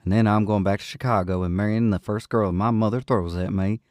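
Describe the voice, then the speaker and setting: Man playing a grumbling, deep-voiced young cowboy. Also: steady tone, a little fast, a little mellow, no echo, clean studio sound, natural voice. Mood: exasperated. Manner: swearing, exasperated. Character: brash, grumbling young male Old West cowboy.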